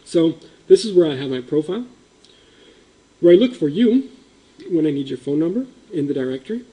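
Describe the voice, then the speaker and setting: Male presenter, fairly high, mellow voice, explaining a system with ums and likes. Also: expressive, brisk, rough recording. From Indonesia. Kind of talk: conference presentation. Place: meeting room.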